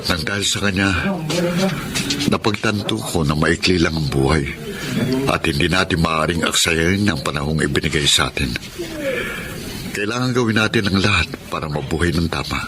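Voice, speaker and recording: A man's voice: deep voice, moderate pace, pauses often, a crowd in the background, natural voice. A man playing a humble, hopeful reformed thief in a Filipino radio drama, with a Filipino accent. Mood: grateful, reflective. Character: humble, earnest reformed snatcher, hopeful working husband. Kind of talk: Filipino radio drama acting and reflective narration. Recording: dramatic music behind the voice.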